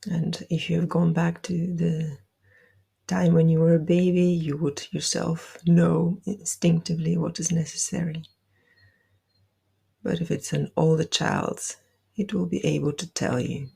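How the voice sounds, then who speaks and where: A woman leading a guided meditation, giving gentle, deliberate instructions with soft, breathy phrasing. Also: sits low, level tone.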